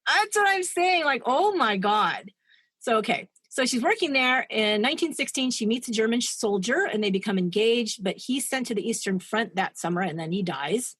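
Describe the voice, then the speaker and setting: A woman's voice: fairly high pitch, expressive, normal speed, fairly bright tone, breathy edge, no echo. A woman co-hosting a podcast, retelling a true-crime story in a chatty, digressive way and laughing at her own asides. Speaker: podcast co-host.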